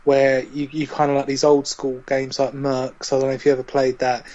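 A man's voice: mid-range voice, monotone, moderate pace, darkish tone, muffled recording. A man co-hosting a casual games podcast, speaking in an easygoing, teasing way. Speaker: podcast co-host. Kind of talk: casual chat.

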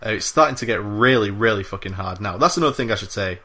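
Keen podcast host with a bright voice. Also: male voice, lowish voice, lively intonation, fast. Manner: enthusiastic, swears freely, many fillers. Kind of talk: casual chat.

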